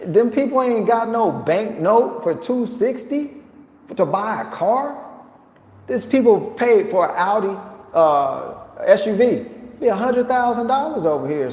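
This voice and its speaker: A man vlogging casually to his viewers, talking in an animated, slangy, joking way. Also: a little high. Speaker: vlogger living abroad. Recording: echoey room with long reverb.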